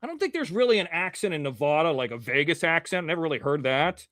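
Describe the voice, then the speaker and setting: A man from Ohio hosts a live show with a high voice, rambling through the chat and swearing casually. His diction stays clean and crisp. The sound is dry and close, with no room around it. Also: very animated, fast talker, fairly bright tone, no room sound.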